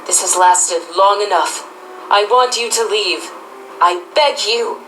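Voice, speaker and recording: A woman playing a regal, gentle but burdened pony princess in an audio drama, composed and earnest. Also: medium pitch, slow pace, a little hiss or hum, poor audio. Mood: pleading, firm.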